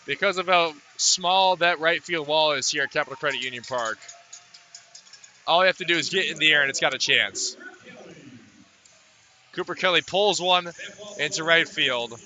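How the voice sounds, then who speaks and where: Male sports announcer, live radio baseball play-by-play, sharp bright tone, higher-than-average pitch, clipped stat phrases. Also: moderate pace, long pauses, rough recording.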